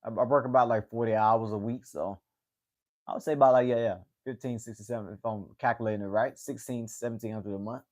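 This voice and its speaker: A man's voice: medium pitch, steady tone, quick pace, a little mellow. A man in his late twenties chatting casually on his own livestream, rambling and repeating himself, with "man" at the end of many sentences. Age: 20s. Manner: rambling, repeats words, says "man" a lot. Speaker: livestream host.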